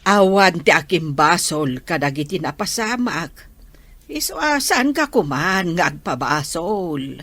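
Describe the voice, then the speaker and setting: A woman's voice: lowish voice, sing-song, a little slow, fairly bright tone, no echo, heavily acted. A woman with an Ilocano Filipino accent playing a caring, soothing old grandmother in an audio drama.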